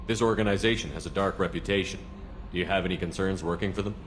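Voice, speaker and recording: An actor playing a resolute, courteous military starship commander in a video game, composed and matter-of-fact. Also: male voice, sits low, fast talker, a little hiss or hum, lightly acted. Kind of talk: video game dialogue acting.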